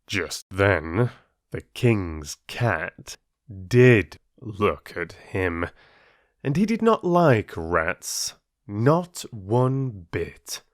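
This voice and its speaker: Low-voiced, unhurried male storyteller. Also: very animated, no echo, clean studio sound. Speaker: storyteller and channel host. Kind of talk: audiobook storytelling and chat to listeners.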